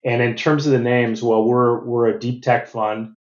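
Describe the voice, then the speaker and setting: A middle-aged American man giving measured, businesslike interview answers and often restarting his phrases. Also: medium pitch, flat delivery, reverberant, lo-fi sound. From United States. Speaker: venture capital partner.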